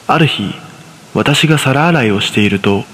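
A man's voice: medium pitch, lively intonation, normal speed, a bit bright. A man narrating a scripted horror story in the first person. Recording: long reverb on the voice.

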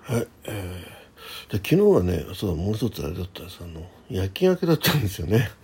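A man, probably middle-aged, chatting casually by himself as a radio host, halting and thinking aloud in short polite phrases. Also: low voice, sing-song, darkish tone, husky. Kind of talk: casual solo chat about daily life.

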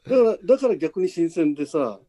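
A man's voice: sits high, sing-song, fairly quick, dark tone. A man hosting a casual behind-the-scenes chat, speaking in a conversational, encouraging way. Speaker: podcast host, radio drama producer.

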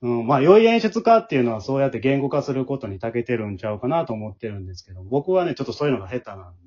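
A husky-voiced man with a Kansai accent chats as a podcast host. He talks quickly with lively swings in pitch, explaining things conversationally. The audio is a little dull and lo-fi. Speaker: amateur theatre director and podcast host. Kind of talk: casual podcast chat.

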